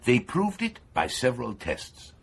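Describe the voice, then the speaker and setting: An actor playing an eager, persuasive neurosurgeon with a macabre obsession in a radio horror drama, speaking insistently and clinically with a British accent. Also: male voice, mid-range voice, wildly swooping pitch, measured pace, vocal fry, husky, full character voice. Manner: insistent, enthusiastic, coolly clinical lecturing. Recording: faint background noise.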